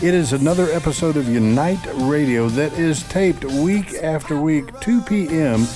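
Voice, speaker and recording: A man's voice: fairly high pitch, moderate pace, music in the background. A man hosting a radio talk show, doing enthusiastic host patter in front of a live audience. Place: studio set in a mall before an audience.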